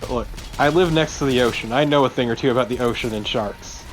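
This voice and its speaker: A man's voice: mid-range voice, fast, soft background music, poor audio. A man chatting casually and wryly as a co-commentator over gameplay. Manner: wry, sweary. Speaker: let's play co-commentator.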